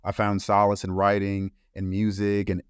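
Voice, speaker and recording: A man from Brooklyn, a podcast co-host reading a solemn, deliberate reflection. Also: on the low side, completely flat delivery, smooth, dry recording, clean studio sound. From Brooklyn, New York. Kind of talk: scripted reflective monologue.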